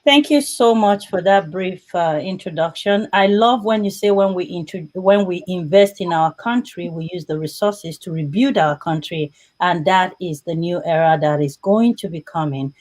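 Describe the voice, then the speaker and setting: A woman's voice: fairly low pitch, normal speed, hardly any pauses, darkish tone. A woman hosting a live broadcast interview as presenter. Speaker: host.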